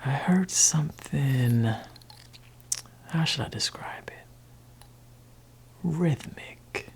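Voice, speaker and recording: A man voicing a gentle, laid-back father in an ASMR roleplay, talking softly and whispering to his teenage child in a teasing way. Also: very slow, vocal fry, heavily acted. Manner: soft-spoken, shifting between low voice and whisper. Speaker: ASMR creator.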